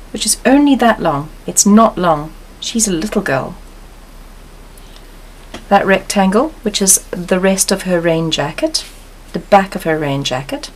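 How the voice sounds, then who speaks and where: A woman teaching an acrylic painting step by step, calmly explaining each brushstroke and repeating instructions. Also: mid-range voice, rough recording. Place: studio table, overhead camera on canvas.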